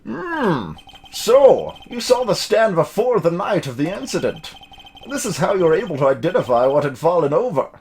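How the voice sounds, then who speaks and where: A man's voice: high voice, very animated, darkish tone, breathy edge, exaggerated voice. A man voicing a solemn, formal, slightly puzzled judge in a courtroom video game. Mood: solemn, considering. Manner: formal, deliberate.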